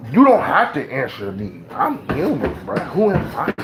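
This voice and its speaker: A man's voice: on the high side, wildly swooping pitch, normal speed, background noise. A man from Ohio, ranting casually in loose, slangy talk and preaching his views. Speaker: beatmaker talking to camera. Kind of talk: casual rant.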